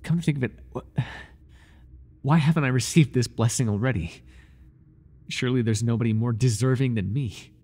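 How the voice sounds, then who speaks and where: Fawning, obsessive high priest, acted by a man, husky voice. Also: moderate pace, a little mellow, natural voice. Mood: insistent, jealous. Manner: servile and pleading, stammering, grandiose formal speech. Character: obsessive, jealous, fawning male high priest serving a god. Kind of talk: ASMR roleplay monologue, dramatic acting.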